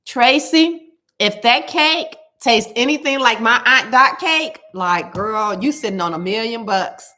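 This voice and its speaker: A woman with a loud, carrying voice, chatting casually to her viewers and telling stories with lots of emphatic repetition. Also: on the high side, a little slow. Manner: animated storytelling, repeats words for emphasis. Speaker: cooking vlogger.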